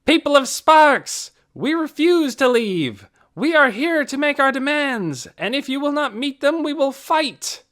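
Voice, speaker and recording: A man voicing a defiant young male rebel leader who shouts his demands in a raised, strained voice. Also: very high voice, wildly swooping pitch, moderate pace, few pauses, pitched above the performer's own voice, full character voice. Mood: defiant shouting. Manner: shouting, commanding. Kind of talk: audiobook character voice.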